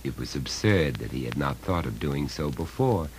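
A man with a very low voice narrates a horror tale. His delivery is deliberate and dramatic, with wide swings in pitch from phrase to phrase. There is faint noise on the recording behind him. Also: expressive, poor audio. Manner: measured, deliberate, dramatic storytelling. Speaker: story reader. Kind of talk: scripted narration of a horror short story.

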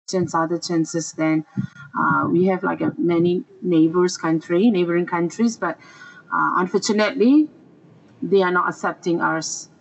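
A speaker from Myanmar, a refugee teacher, answering interview questions hesitantly while searching for words. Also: male voice, fairly high pitch, vocal fry, low-quality recording.

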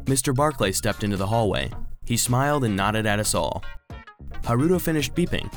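Man acting robot vacuum narrator, earnest, anxious, animated intonation, children's audio drama, faint music behind. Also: medium pitch, close to an everyday voice. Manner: expressive storytelling.

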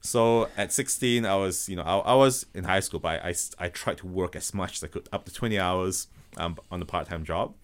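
Low-voiced guy, slight malaysian accent, thinks out loud, earnest, casual podcast chat. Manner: earnest, halting, thinks aloud. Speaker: guest, robotics business founder.

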